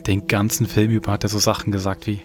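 A man's voice: fairly low pitch, little pitch movement, fast, darkish tone. A man presenting a podcast and reading a story aloud in a conversational way. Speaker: podcast host. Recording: music underneath.

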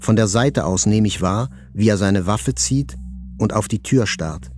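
A man acts a restrained journalist telling his story in a literary radio play. His low voice moves plainly from moment to moment, with music clearly behind it. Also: unhurried, a little mellow, natural voice. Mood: tense observation. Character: male journalist reliving a fateful morning moment by moment. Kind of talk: literary audio drama narration.

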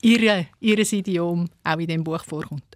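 A woman's voice: lowish voice, a little slow, no room sound. Woman, Swiss German accent, radio interviewer, probing questions, dry close sound.

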